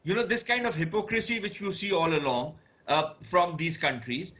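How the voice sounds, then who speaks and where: A man's voice: sits high, moderate pace. A man with an Indian accent giving opinionated debate commentary as a panelist, blunt, scornful and emphatic. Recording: dull lo-fi broadcast audio.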